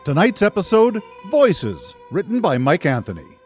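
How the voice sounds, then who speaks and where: A man's voice: sits high, huge pitch swings, moderate pace, faint music behind. A man playing an eerie, ominous narrator of a live radio anthology drama, delivering dramatic scripted narration. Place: radio studio, live broadcast.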